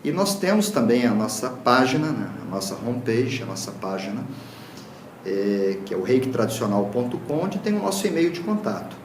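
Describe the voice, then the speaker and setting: Brazilian male lecturer, earnest, mid-pitched, echoey hall with faint background noise. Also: big pitch swings, normal speed. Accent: Brazilian Portuguese. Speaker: lecturer, Reiki teacher. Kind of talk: public talk. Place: lecture room.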